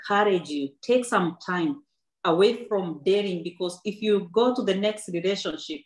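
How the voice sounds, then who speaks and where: A woman's voice: mid-range voice, moderate pace, echoey room, rough recording. A woman in her thirties, an author telling her personal story in an earnest, faith-filled and reflective way, often restarting her sentences. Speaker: author and guest. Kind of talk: personal testimony in a book club talk. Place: indoor room with a backdrop.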